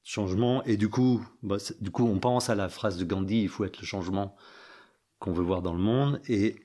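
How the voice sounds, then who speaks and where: A man's voice: fairly low pitch, moderate pace, mellow. A man sharing reflections in a group discussion, talking hesitantly with many restarts and fillers. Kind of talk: casual reflective talk.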